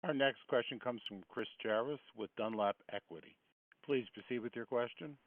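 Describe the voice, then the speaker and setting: A man's voice: mid-range voice, normal speed. A man announcing callers as a conference operator in a formulaic, scripted style. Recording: muffled lo-fi phone line.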